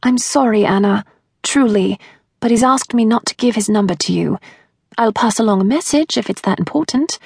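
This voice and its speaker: A sweet young English woman voiced by a female narrator from an audiobook, husky with a touch of fry. She is playful and no-nonsense, teasing her friend in blunt slang, and the sound is close and dry. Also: on the high side, normal speed, no room sound, voice pushed up. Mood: firm, exasperated.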